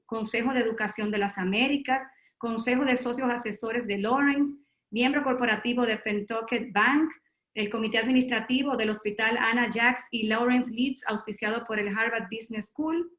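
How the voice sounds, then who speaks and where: Lady hosting a zoom webinar, bit high voice, roomy echo, formal intro with lots of eh. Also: a bit bright. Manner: reads a long formal bio with filler pauses. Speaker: host and moderator of a webinar. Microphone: video call.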